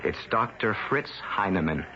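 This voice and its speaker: A man's voice: on the low side, expressive, moderate pace, background noise, close to an everyday voice. An actor in an old-time radio drama playing a stubborn, indignant crusading newspaperman, insistent and suspicious of authority. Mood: grim conviction. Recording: muffled old radio recording.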